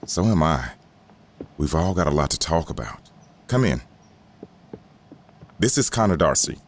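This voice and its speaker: A man playing a somber, courteous gentleman in audio drama dialogue. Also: very deep voice, sing-song, a little slow, slight background noise, full character voice.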